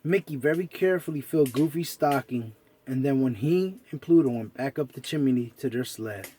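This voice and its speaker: A man narrating a children's story as an expressive storyteller. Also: medium pitch, moderate pace, slight background noise.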